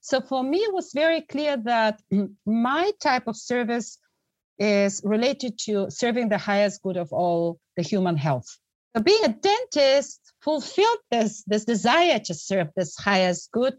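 A woman's voice: fairly high pitch, sing-song, unhurried, no room sound. Woman, middle-aged, podcast guest, reflective, earnest, restarts phrases, dry close sound. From Bulgaria. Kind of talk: conversational storytelling.

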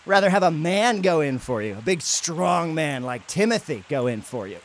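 A man's voice: a little high, very animated, normal speed, slight background noise. A man playing a teasing, mock-dramatic teenage bully at a camp in an audio drama. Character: taunting, theatrical teenage boy bully at camp.

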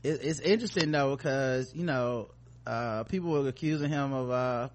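A man's voice: mid-range voice, moderate pace. Male comedy podcast host, mid-range voice, loose and slangy, profane, conversational commentary.

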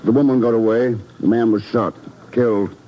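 An actor playing a composed intelligence agent in a 1950s radio drama, questioning in a clipped, probing, businesslike way. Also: male voice, medium pitch, steady tone, moderate pace, a little hiss or hum, natural voice. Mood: composed, matter-of-fact. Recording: dull old radio recording.

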